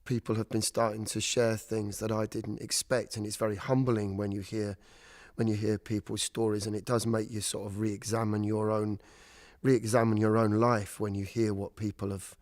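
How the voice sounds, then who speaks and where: A man who works as a theatre director, talking reflectively and giving gentle explanations about the work. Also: fairly low pitch, level tone, normal speed. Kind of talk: interview talk and rehearsal direction.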